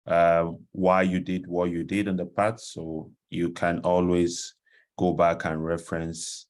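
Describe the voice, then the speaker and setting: A man giving an explanatory presentation on a video call, haltingly searching for words. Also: low voice, level tone. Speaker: presenter of a learning module. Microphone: headset on a video call.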